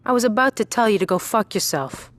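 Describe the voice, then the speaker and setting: A woman's voice: mid-range voice, animated, fast talker, a bit bright, close to an everyday voice. A woman playing a tough, defiant young gang member in a video game, brash and profane. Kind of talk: video game cutscene acting.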